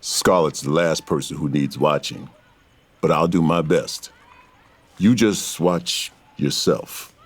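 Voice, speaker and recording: Man acting deep, slow, gruff loyal fighter. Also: full character voice. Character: big gruff loyal male fighter, Mastodon.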